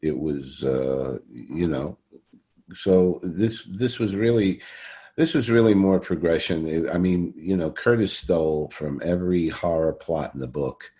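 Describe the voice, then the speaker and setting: A man hosting a casual conversational interview, speaking in a halting, rambling way and often repeating himself. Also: deep voice, mellow. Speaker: podcast interviewer.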